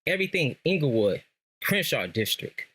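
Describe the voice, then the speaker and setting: A man's voice: a little high, very animated, moderate pace, bright, forceful tone, breathy edge. A man from Los Angeles with an American accent, talking casually as a sports fan guest on a podcast, telling stories in slang and repeating phrases for emphasis. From Los Angeles, Southern California. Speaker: guest, lifelong Lakers fan.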